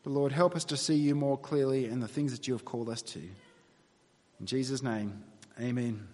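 A man's voice: mid-range voice, fairly even intonation, normal speed, a little mellow. A man with an Australian accent preaching a sermon, praying earnestly and then telling stories in a conversational way with wry asides. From New South Wales, Australia. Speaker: pastor. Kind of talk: sermon with prayer. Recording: long reverb of a church hall.

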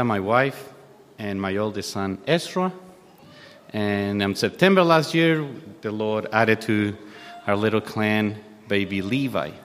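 A man in his thirties from Chile, a native Spanish speaker with a Chilean accent, preaching informally and haltingly with a self-deprecating manner. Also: fairly low pitch, a little hiss or hum. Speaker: guest preacher. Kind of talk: sermon. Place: church hall. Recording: long hall reverb.

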